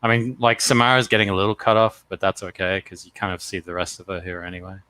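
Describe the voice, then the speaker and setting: Bright-voiced man joking in casual chat. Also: sits low, little pitch movement, fast talker, no echo, poor audio. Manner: joking, crude, laid-back. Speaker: comic artist co-host.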